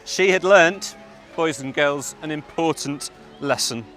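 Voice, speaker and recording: A man narrating a fairytale live to children, theatrical and deliberate, with dramatic pauses. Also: fairly high pitch, fairly bright tone, faint music behind. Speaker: storyteller narrator. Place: outdoor public event.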